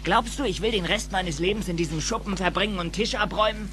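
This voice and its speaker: A man's voice: high voice, fast, noisy background, close to an everyday voice. A man playing a proud, ambitious young café worker in dubbed film dialogue, speaking emphatically. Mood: urging.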